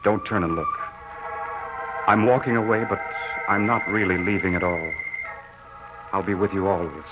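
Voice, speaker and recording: Muffled, low-voiced, wistful young soldier played by a man. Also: normal speed, pauses often, close to an everyday voice. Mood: tender. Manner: folksy, wistful, solemn storytelling. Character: earnest, lovelorn young farm-raised soldier telling his story. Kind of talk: 1940s radio drama narration. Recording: muffled old radio recording with music. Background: dramatic music.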